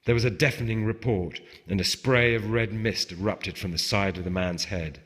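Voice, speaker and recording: Man, low-pitched, literary narration, author reading aloud, long hall reverb. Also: moderate pace, fairly bright tone. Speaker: author reading from own novel.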